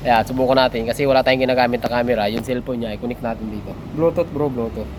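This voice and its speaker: A man's voice: medium pitch, steady tone, fast talker. A man with a Filipino accent hosts a hands-on tutorial in casual, informal chat, addressing viewers like buddies. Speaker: tutorial host. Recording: noisy vehicle and street ambience.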